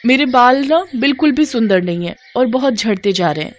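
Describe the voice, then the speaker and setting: A woman's voice: a little high, animated, fairly quick, pitched above the performer's own voice, lightly acted. Woman voicing a fretful, vain young village woman. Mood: distressed, pleading.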